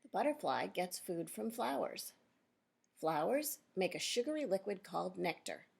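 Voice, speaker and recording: A woman reading a children's nonfiction book aloud as a teacher, pausing to explain and question her young listeners directly. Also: mid-range voice, sing-song, vocal fry, breathy edge.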